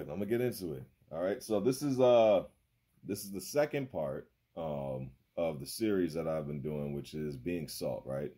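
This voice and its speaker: A man in his thirties gives a relaxed sermon into a phone in a small room. His voice sits very low with a husky edge, and he talks conversationally, checking in with "right?" after nearly every point. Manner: conversational, laughs often, keeps asking "right?". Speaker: preacher. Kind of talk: casual sermon over a video call. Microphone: phone held close.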